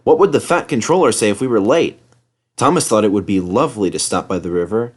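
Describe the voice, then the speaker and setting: A man's voice: lowish voice, quick pace, a little mellow, husky. A man narrating a children's story as a scripted read-aloud. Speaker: storybook reader.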